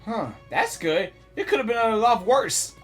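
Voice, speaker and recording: A woman's voice: fairly high pitch, lively intonation, a little slow, soft background music. A woman giving casual, informal live reaction commentary while playing a video game. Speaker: gaming reaction streamer.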